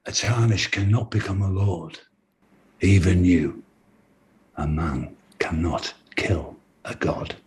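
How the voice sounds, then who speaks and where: Video game villain: imperious and contemptuous, low-pitched, drenched in long cavernous reverb. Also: male voice, darkish tone, a little hiss or hum. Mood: menacing. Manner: slow, portentous delivery. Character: ominous, imperious male foe, grave and contemptuous. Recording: echoey hall with long reverb.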